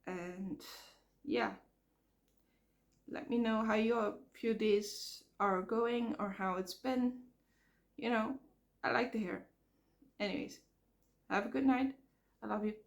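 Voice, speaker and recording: A woman vlogging outdoors by a road, talking frankly in a halting way while she comes down with a cold and her voice cracks. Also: mid-range voice. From Netherlands. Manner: frank, halting, repeats words. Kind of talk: casual rambling vlog. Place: outdoors near traffic.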